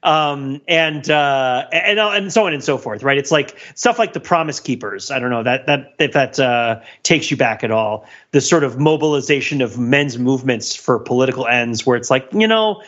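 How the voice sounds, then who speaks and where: A man's voice: mid-range voice, expressive, moderate pace, hardly any pauses, fairly bright tone, lo-fi sound. A man co-hosting a podcast in casual discussion, talking in a wordy, intellectual way and often checking in with "right?". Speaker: podcast co-host.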